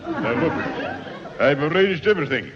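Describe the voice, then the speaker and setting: An actor playing a fussy, pompous, exasperated choirmaster in a 1960s radio comedy, speaking hesitantly and self-importantly. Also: male voice, fairly high pitch, very animated, moderate pace, a crowd in the background. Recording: echoing hall, muffled old radio, faint audience, hiss.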